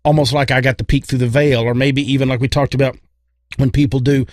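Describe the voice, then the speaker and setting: A man's voice: mid-range voice, little pitch movement, quick pace, no room sound. Rambling male podcaster in a dry room. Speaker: podcast co-host. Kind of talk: casual chat, opinion.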